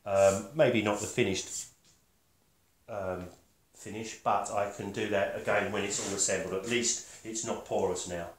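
Older male woodworker, fairly low-pitched, unpolished and matter-of-fact, explaining his work aloud in a reverberant room. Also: steady tone. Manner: halting, matter-of-fact, thinking aloud while working. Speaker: woodworker demonstrating his build. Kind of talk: casual step-by-step demonstration. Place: concrete-block workshop and house room. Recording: echoey room, faint noise, handling clicks.

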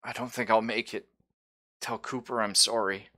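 A man plays a dying woman in a tabletop roleplaying game. The voice is fairly low and bright, with a weak, regretful manner as she gives her last words. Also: steady tone, moderate pace. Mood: regretful, resigned.

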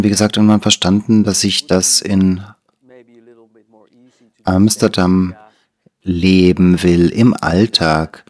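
A Dutch man in his sixties with a very low, deep voice talks as an interview guest in a small studio. He is speaking German, which is not his first language, so his Dutch accent comes through. He tells his story thoughtfully and without hurry, close to a lapel mic. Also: a little mellow. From Netherlands. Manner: thoughtful, unhurried, gestures while talking. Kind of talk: reflective conversational storytelling.

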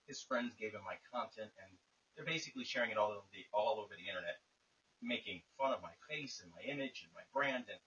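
A man's voice: on the low side, pushed and bright. A man calling someone on the phone, arguing his case insistently in wordy, formal phrasing. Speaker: caller. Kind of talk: tense, argumentative phone conversation. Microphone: phone call. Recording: lo-fi hissy phone call recording, distant.

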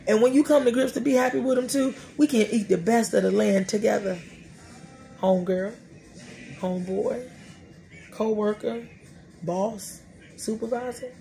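A woman's voice: on the high side, moderate pace, vocal fry, long pauses, faint music behind. A woman in her thirties hosting a casual faith podcast, talking animatedly and preachily from a small room. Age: 30s. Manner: animated, preachy, talks to herself, laughing asides. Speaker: podcast host. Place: small room, indoors before a dark curtain.

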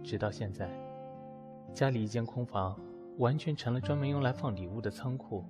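A man acting a proud, wounded schoolteacher in an audio drama. He speaks curtly and coolly at a middling pitch, with music behind him. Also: moderate pace, lightly acted. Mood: quiet, resigned. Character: proud, wounded male math teacher rejecting his former lover. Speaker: voice actor in an amateur audio drama. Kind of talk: acted audio drama dialogue.

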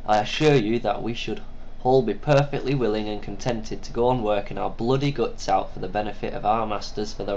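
A man's voice: on the low side, highs cut off, slight background noise, lightly acted. A man reading a story aloud, voicing a mournful, sardonic working-class man.

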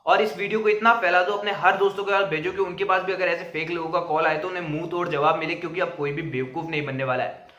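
High-pitched young man, bright voice. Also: steady tone, fast talker, highs cut off. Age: young adult. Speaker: video creator. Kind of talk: explanatory talk to camera, cautionary. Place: small indoor room with plain wall. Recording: roomy indoor echo.

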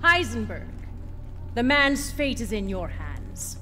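An actress playing a coldly authoritative cult matriarch in a video game, solemn and deliberate, in a reverberant space. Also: female voice, fairly high pitch, sing-song, bright, forceful tone, full character voice. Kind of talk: dramatic video game cutscene acting. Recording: long cavernous reverb, music behind.

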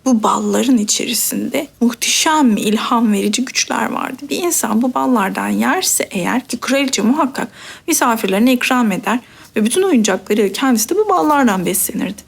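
A woman's voice: fairly high pitch, animated, moderate pace, vocal fry, breathy edge, full character voice. A woman voicing a fussy, devoted old vizier in a storybook, full of flowery praise. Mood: doting. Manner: fawning and flowery.

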